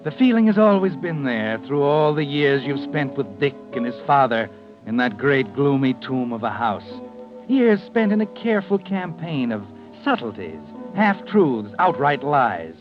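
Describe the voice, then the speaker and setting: A man's voice: mid-range voice, very animated. A sardonic, ominous storyteller of vintage radio suspense. His intonation sweeps expressively over prominent music. The recording is muffled and old. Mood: insinuating. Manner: ominous, insinuating, deliberate phrasing. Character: sardonic, knowing, omniscient mystery narrator. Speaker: narrator. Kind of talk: 1940s radio suspense narration in second person.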